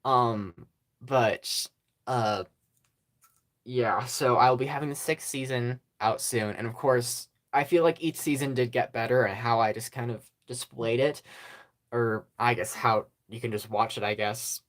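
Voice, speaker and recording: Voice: medium pitch, measured pace. A YouTube host in a casual, rambling channel update, hesitant and self-deprecating, with many ums and small laughs. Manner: self-deprecating about own past work. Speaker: stop-motion channel host.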